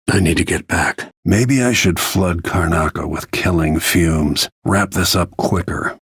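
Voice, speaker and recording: A man playing a grim, world-weary middle-aged assassin in a video game, with a gravelly, husky voice and a terse, brooding manner. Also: extremely low pitch, a bit bright, dry recording, clean studio sound, heavily acted. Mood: grim, measured. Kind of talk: scripted video game voice lines.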